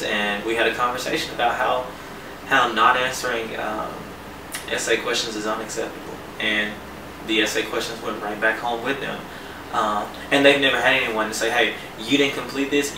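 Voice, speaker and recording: Low, even-voiced young man, roomy noisy recording. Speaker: teacher in training. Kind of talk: personal account to camera. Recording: roomy, noisy, distant microphone.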